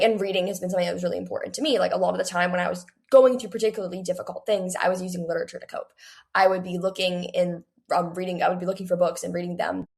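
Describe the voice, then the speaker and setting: Young woman with a creaky voice. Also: fairly low pitch. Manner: earnest, chatty, frequent "like". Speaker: young author being interviewed. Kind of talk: casual conversational interview.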